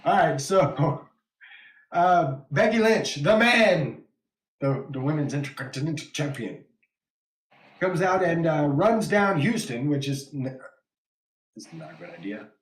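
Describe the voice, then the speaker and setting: A man's voice: a little high, animated, measured pace, pauses often, roomy sound, poor audio. A man from West Texas with a West Texas accent, hosting a casual wrestling podcast, laughing often and reading viewer comments aloud. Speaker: podcast host.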